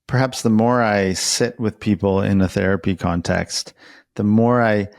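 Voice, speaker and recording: A man's voice: on the low side, fairly even intonation, normal speed, creaky, no room sound, studio quality. A man interviewing in a casual, conversational way, reflective and rambling, often hedging as he goes. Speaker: interviewer who works as a therapist.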